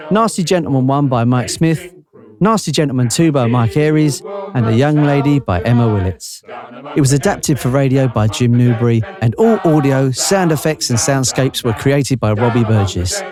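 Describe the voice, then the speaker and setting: A man's voice: mid-range voice, normal speed, a crowd in the background. A man reading a scripted credits announcement for a radio drama.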